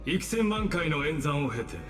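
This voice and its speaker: A man's voice: a little high, animated, soft background music, close to an everyday voice. Coldly courteous scheming mastermind in a reverberant space, acted by a man. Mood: smug, composed. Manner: formal, polite, measured, condescending. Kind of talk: Japanese anime-style game voice acting.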